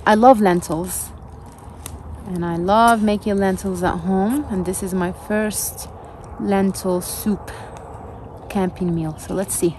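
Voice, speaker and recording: A woman's voice: medium pitch, steady tone, slow pace, darkish tone, a little hiss or hum. A young woman casually talking viewers through cooking a camp meal outdoors, step by step, with her camera a short way off. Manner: chatty, step-by-step narration. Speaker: vlogger. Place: campsite outdoors by a fire.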